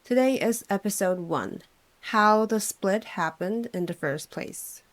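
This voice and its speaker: A young woman from Korea tells her story to camera in native English. Her tone is wry and reflective, measured rather than showy, with a mid-range voice that sits neither high nor low. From South Korea. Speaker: English teacher and channel host.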